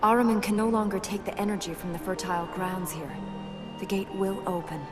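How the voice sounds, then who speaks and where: An actress playing a dry, determined young princess in a video game, terse and matter-of-fact. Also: female voice, medium pitch, fairly even intonation, brisk, natural voice. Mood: earnest, matter-of-fact. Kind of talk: video game cutscene acting. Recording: distant voice under music.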